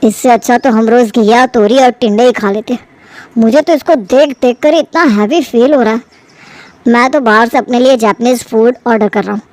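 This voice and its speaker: A woman's voice: fairly high pitch, normal speed, darkish tone, no room sound, natural voice. A woman voicing a disgruntled, picky young adult son who grumbles casually. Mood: fed up.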